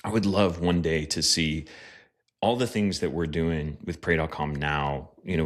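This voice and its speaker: A man's voice: a bass voice, fairly bright tone. A man talking as a podcast guest, a pastor and writer, speaking in an earnest, reflective way with frequent fillers. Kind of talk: casual interview chat.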